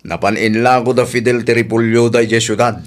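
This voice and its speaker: A man's voice: mid-range voice, flat delivery, brisk, lightly acted. A man playing a meddling, secretive village trader in an Ilocano radio drama, with an Ilocano Filipino accent and a grumbling manner.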